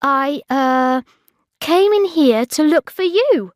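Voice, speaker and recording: A woman's voice: high voice, expressive, slow, no room sound, studio quality, pitched above the performer's own voice. A woman voicing an anxious, self-pitying single woman given to melodrama, as in an audiobook diary. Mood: nervous, evasive. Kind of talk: audiobook diary character acting.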